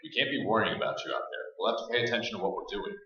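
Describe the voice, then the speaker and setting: A man's voice: on the low side, racing, bright, forceful tone. A man playing a practical, urgent leader of a group of survivors in a staged radio play. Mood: firm. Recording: very echoey stage, distant, muffled band.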